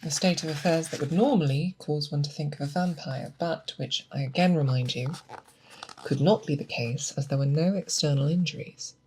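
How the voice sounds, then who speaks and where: A woman playing a seasoned, patient monster hunter who teaches an apprentice in a soft, deliberate, questioning way, in a close-miked ASMR roleplay. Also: low-pitched, a little hiss or hum, natural voice, low-quality recording. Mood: patient, probing. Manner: deliberate, instructive, questioning, thoughtful pauses. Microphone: close binaural microphone.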